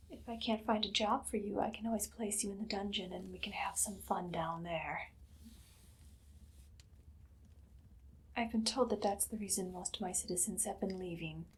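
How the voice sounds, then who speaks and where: A woman voicing an imperious, menacing evil queen in a whispered ASMR roleplay, speaking softly and deliberately with a condescending, commanding air. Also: medium pitch. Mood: sly, teasing. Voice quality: breathy, soft, much of it whispered.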